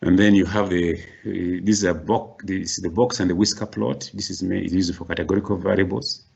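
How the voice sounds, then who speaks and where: A man's voice: sits low, fairly even intonation, brisk, gravelly, a little mellow, husky, lo-fi sound. A middle-aged man giving a university workshop lecture, explaining step by step with frequent restarts and sudden rising stresses on key words.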